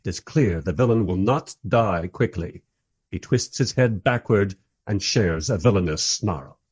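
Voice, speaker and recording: A man's voice: lowish voice, measured pace, husky. A man playing a wry, bemused first-person narrator in a radio play, telling the story in a dry, literary way. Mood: dryly amused.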